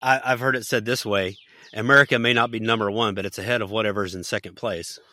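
A man's voice: fairly low pitch, fairly even intonation, quick pace, sharp tone, no echo, studio quality. A man hosting a podcast interview, folksy and fond of telling jokes. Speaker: podcast host.

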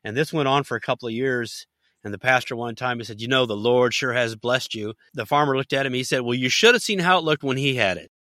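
A man's voice: mid-range voice, fast talker, bright, forceful tone, no room sound, studio quality. A man hosting a podcast interview, folksy and fond of telling jokes. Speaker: podcast host.

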